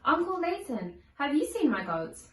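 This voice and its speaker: A woman reading a children's storybook aloud in simple, deliberate phrases, acting out the dialogue expressively. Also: high-pitched, very animated, very noisy. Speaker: storybook reader. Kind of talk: children's read-along storytelling with acted dialogue. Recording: echoey room, distant from the mic.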